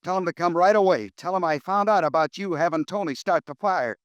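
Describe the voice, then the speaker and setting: A man's voice: high voice, brisk, dry recording, close to an everyday voice. An actor playing a desperate, scheming male murderer in a 1950s radio drama, talking hard and menacing. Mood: frantic.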